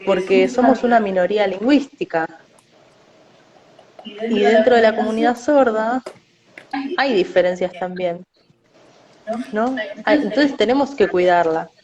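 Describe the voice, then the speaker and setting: A woman with a Rioplatense Spanish accent giving measured interview answers, often tagging her sentences with a questioning "no?". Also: mid-range voice, lo-fi sound, a crowd in the background. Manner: measured, often adds "no?" tags.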